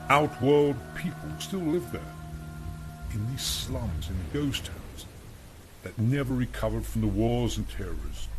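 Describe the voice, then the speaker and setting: A man's voice: medium pitch, poor audio. A man narrating a dystopian radio drama in a grim, world-weary, emphatic way.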